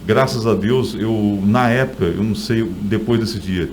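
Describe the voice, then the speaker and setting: A man's voice: lowish voice, unhurried, darkish tone, a little hiss or hum. A middle-aged man with a Brazilian accent, an author telling stories in a conversational interview.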